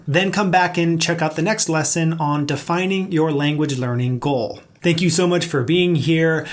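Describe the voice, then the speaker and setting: A man calmly narrating an online course lesson as its instructor, in an encouraging, measured way. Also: on the high side. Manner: calm, encouraging, measured delivery.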